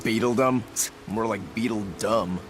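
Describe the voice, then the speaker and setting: Um ator interpretando um espadachim descontraído e alegre, de fala franca e brincalhona. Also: male voice, on the low side, a little slow, soft background music, natural voice. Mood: casual. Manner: casual, blunt, playful. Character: laid-back cheerful male swordsman. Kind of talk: game dub acting.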